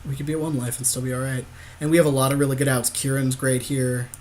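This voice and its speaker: A man's voice: medium pitch, level tone, fast, darkish tone, a little hiss or hum. A man co-hosting a gaming podcast, bantering informally and agreeing as he chats through an online card game. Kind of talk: casual chatty game commentary.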